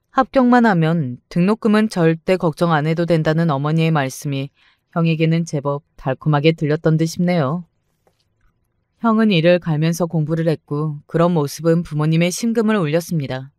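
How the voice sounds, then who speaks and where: A man narrating a first-person family story in a calm, even scripted manner. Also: a little high, smooth, no room sound, clean studio sound.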